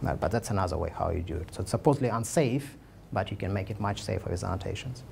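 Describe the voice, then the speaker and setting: A man's voice: fairly low pitch, expressive, fast, vocal fry, a little mellow, a little hiss or hum. A man in his thirties giving a conference talk with slides, speaking casually with many fillers and restarts. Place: meetup room, indoors.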